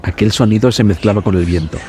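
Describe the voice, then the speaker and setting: A man narrating a scripted suspense horror story for a podcast in a dramatic, measured way. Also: fairly low pitch, darkish tone, slight background noise. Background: background music.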